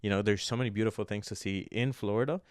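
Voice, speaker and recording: Smooth low voice guy, rambly casual podcast chat, really dry sound. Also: fast, no room sound. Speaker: podcast co-host. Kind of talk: casual chat about personal goals and video games.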